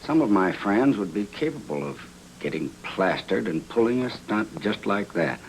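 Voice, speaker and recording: An actor playing a gruff, self-made wealthy client in a film noir, blunt and commanding. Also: male voice, fairly low pitch, big pitch swings, normal speed, slight background noise, poor audio. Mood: earnest, reflective. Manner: blunt, plain-spoken, commanding. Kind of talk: film noir screen acting.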